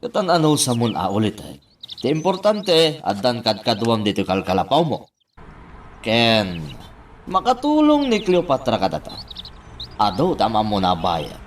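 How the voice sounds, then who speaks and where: A man's voice: medium pitch, wildly swooping pitch, normal speed, slight background noise. A man playing a friendly, curious younger man, a respectful nephew, in a radio drama.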